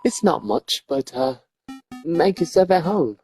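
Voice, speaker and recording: A man's voice: on the high side, expressive, measured pace, a little mellow, faint music behind, voice pushed up. A man voicing a shy, lonely, apologetic game character who stammers and speaks in a put-on accent. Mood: awkward. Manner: hesitant, stammering, self-effacing. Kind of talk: reading game dialogue aloud.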